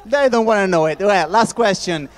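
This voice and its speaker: A man from Italy hosting a casual festival discussion, playful and quick to laugh, talking into a handheld mic with many fillers. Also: high voice. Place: festival hall with audience. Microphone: handheld microphone. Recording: reverberant hall.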